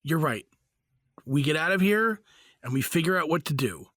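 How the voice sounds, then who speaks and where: A man's voice: a little high, lively intonation, normal speed, fairly bright tone, dry recording, studio quality. A man playing a supportive, earnest father in a fantasy audio drama, with a natural conversational delivery. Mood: reassuring. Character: supportive, argumentative father held in a fantasy jail.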